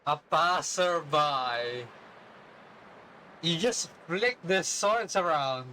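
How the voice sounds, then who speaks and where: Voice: mid-range voice, animated, very slow, sharp tone, a little hiss or hum, poor audio. A streamer giving a casual live reaction to a game story, in an awestruck, gushing, slangy way. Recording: game music behind the voice. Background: game music and dialogue.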